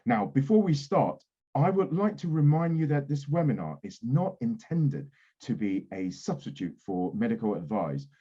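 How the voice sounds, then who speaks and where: A man's voice: medium pitch, very animated, moderate pace, warm tone, husky, lo-fi sound. A man hosting an online panel webinar over a video call, introducing and steering the discussion. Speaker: webinar host.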